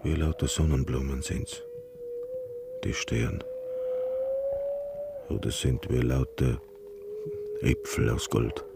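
A man's voice: rumbling low, huge pitch swings, slow pace, gravelly, pauses often, heavy background noise, exaggerated voice. An actor playing a dreamy, musing father talking to his child in a poetic radio drama. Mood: dreamy wonder.

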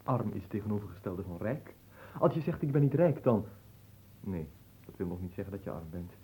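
A man playing a pompous, hapless young aristocratic suitor in a comic radio play, speaking floridly but hesitantly with a husky voice. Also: lowish voice, animated, brisk, dark tone, poor audio. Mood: languid, courting. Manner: florid, hesitant, easily affronted.

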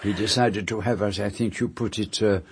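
A man playing a fussy, courteous middle-aged detective, precise and measured, in a radio drama. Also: on the low side, steady tone, a little hiss or hum, close to an everyday voice. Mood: probing, courteous.

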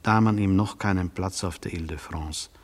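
An actor in a radio drama playing a sceptical, worldly interrogator, measured, matter-of-fact and dismissive. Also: male voice, sits low. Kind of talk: German radio drama acting. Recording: long hall reverb, slightly husky sound.

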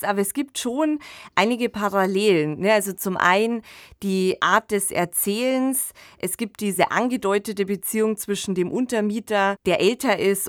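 A woman's voice: medium pitch, dry recording, clean studio sound. A woman talking as a podcast commentator in a casual, analytical, conversational way.

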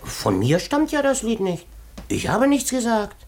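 A woman's voice: on the high side, huge pitch swings, measured pace, a little mellow, heavily acted. An actress playing a cheeky, mocking village woman who gossips in a radio play. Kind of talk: German radio play acting.

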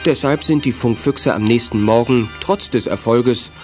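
A man's voice: medium pitch, normal speed, over music, close to an everyday voice. A man narrating a youth detective radio play as a scripted storyteller. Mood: storytelling.

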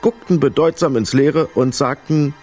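A man's voice: mid-range voice, moderate pace, a little mellow, slightly rough, faint music behind, close to an everyday voice. A man narrating a children's story as a scripted storyteller in a radio play. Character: storyteller of a children's mouse fairy tale.

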